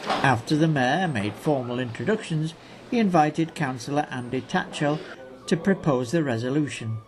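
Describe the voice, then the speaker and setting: Higher-pitched man narrating a local newsreel. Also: lively intonation, normal speed. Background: music.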